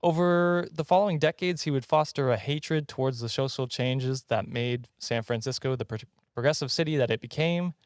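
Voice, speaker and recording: A man's voice: mid-range voice, normal speed, hardly any pauses, dry recording, studio quality. Jokey man hosting a casual podcast. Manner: jokey, self-deprecating, laughs often. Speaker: podcast host presenting a countdown. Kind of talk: casual comedic storytelling.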